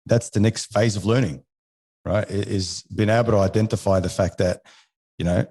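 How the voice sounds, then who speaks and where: A man's voice: lowish voice, steady tone, normal speed, mellow. A man in his forties from Egypt whose first language is French, a podcast guest talking casually, often restarting and ending sentences with "right?". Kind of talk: casual interview conversation.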